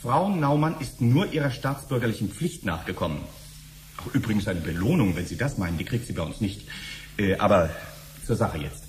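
An actor playing a fussy, officious middle-aged bureaucrat in a radio drama, pausing and hesitating as he questions. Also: on the low side, animated, natural voice. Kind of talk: 1970s German radio drama acting. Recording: slightly noisy old radio drama recording.

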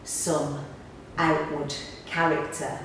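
A woman's voice: sits low, level tone, takes it slowly. A middle-aged woman, chatting reflectively in an interview as an actor. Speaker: pantomime actor being interviewed. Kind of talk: casual interview chat. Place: small indoor room on a sofa. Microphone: camera a few metres away. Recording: roomy, distant mic, clear background noise.

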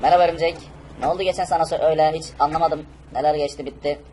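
A man's voice: steady tone, racing, darkish tone, slightly rough. A man voicing a friendly, chummy talking race car in an amateur cartoon-style game video. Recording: noisy, vehicle rumble and game ambience.

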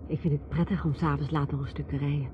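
A woman's voice: deep voice, fairly even intonation, quick pace, close to an everyday voice. A woman playing a reserved, troubled young upper-class widow in a radio drama. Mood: polite. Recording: dull, noisy radio drama recording.

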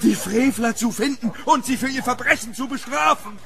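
A man playing a fearful, hunted gentleman in a German horror radio play, speaking with dramatic urgency. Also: very high voice, moderate pace, creaky, slight background noise, full character voice. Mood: alarmed. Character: hunted, fearful English gentleman haunted by a demon.

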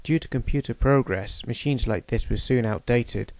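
A man giving a measured, technical demonstration of a studio machine. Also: medium pitch, darkish tone, dry recording. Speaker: equipment demonstrator. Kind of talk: explanatory voice-over demonstration.

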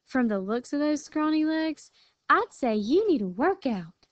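A woman's voice: high-pitched, very animated, unhurried, no room sound, muffled recording, voice pushed up. A woman voicing a small, bold, sassy female armadillo in a storybook, perky and folksy.

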